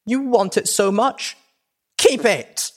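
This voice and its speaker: A man voicing a traumatized, volatile young female unicorn pony with a shrill, strained voice and stammering outbursts. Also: expressive, extremely slow, gravelly, full character voice. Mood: shrieking.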